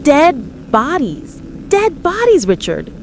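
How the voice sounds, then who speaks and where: A woman playing an earnest, fearful conspiracy-believing radio caller with an American accent, halting and insistent. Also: high-pitched, huge pitch swings, a little hiss or hum, heavily acted, low-quality recording. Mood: uneasy, urgent. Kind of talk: dramatised radio call-in testimony.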